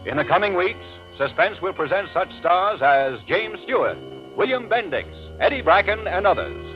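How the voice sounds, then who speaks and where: A man's voice: high-pitched, sing-song, moderate pace. A man giving formal, emphatic promotional announcements in 1940s radio style. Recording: muffled vintage radio recording, faint music.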